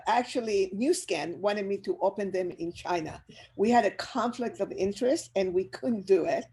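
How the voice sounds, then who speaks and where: An older woman with a Chilean Spanish accent, for whom English is a second language. She talks to her audience over a video call in an upbeat, motivational way, with a mellow, warm tone. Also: medium pitch. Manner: energetic, upbeat, addresses audience directly. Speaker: business speaker. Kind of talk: motivational webinar talk.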